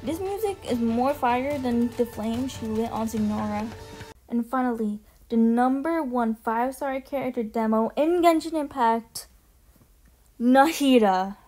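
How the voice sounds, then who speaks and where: A woman with a high, slightly mellow voice talks in casual video commentary over clearly audible music. She is emphatic and gushing, presenting a ranking like a fan and swearing freely. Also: a little slow, poor audio. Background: music under the voice.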